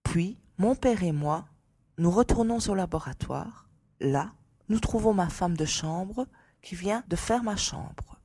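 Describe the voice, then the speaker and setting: A woman playing a composed young lady, a scientist's daughter, giving formal, precise testimony in an audiobook drama, slightly husky. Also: on the low side, animated, takes it slowly, mellow, heavily acted. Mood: composed, reserved. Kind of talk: audiobook character acting.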